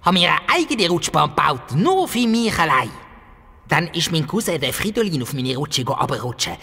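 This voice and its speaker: A woman playing a grumpy, possessive, lonely dwarf in a children's audio play, with a Swiss German accent and excitable, blustering, childlike speech. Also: deep voice, normal speed, slightly rough, full character voice. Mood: sulky confiding. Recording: long cavernous reverb.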